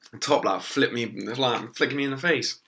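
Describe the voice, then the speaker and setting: A young man, hoarse from losing his voice, rambling casually through a live stream with lots of ums and swearing. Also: medium pitch, moderate pace, noisy background. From England.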